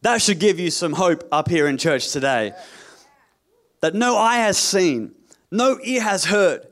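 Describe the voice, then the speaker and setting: A man's voice: high-pitched, expressive, a little slow, a bit bright. A man preaching a sermon as pastor, repeating phrases, cracking asides and asking the congregation for an amen. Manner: repetitive, rhetorical, calls for amen; jokey asides.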